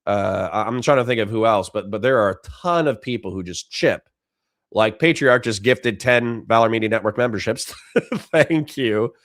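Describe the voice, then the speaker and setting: A man's voice: on the low side, normal speed, fairly bright tone, no room sound. Dry-voiced middle-aged male streamer. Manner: dry, sincere, rambling with many restarts. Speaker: livestream host. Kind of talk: casual livestream chat reading donations.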